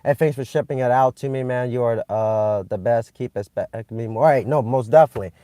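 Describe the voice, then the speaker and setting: A young man from Vietnam chatting with live-stream viewers, excitable and rambling, with many restarts and fillers. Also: medium pitch, a little fast, dry recording, studio quality. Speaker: channel host streaming live. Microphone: phone.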